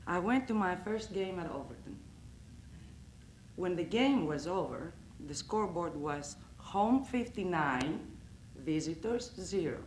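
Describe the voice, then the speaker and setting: Slow-talking woman with a Greek accent. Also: lowish voice, animated, darkish tone, slight background noise. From Greece. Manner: slow, deliberate storytelling with comic timing. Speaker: coach's wife giving a roast speech. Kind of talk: humorous roast speech. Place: banquet hall. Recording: echoey banquet hall.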